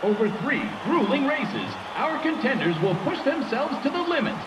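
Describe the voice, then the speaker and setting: An actor playing an excitable male race announcer with a grandiose, showy delivery in a video game. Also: very high-pitched, normal speed, reverberant, recorded from a distance, background noise. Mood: hyped, grand. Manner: grandiose, showman-like. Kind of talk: theatrical sports commentary.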